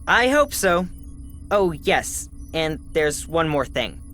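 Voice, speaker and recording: A woman playing an eager, brisk science advisor in a video game, explaining matters in a matter-of-fact way. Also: sits low, big pitch swings, fairly bright tone, faint music behind, exaggerated voice. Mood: intrigued. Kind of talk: video game cutscene acting.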